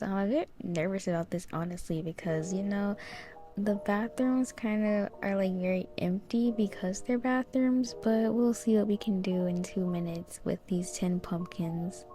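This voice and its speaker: A woman's voice: mid-range voice, a little slow, mellow, faint music behind. A young woman giving casual, chatty commentary over a video game as host.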